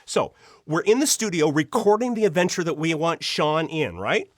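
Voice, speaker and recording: A man's voice: a little high, lively intonation, normal speed, no echo. A man speaking as an interview guest, an audio drama writer and director who tells anecdotes with gushing enthusiasm and acts out the dialogue. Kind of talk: animated anecdotal storytelling.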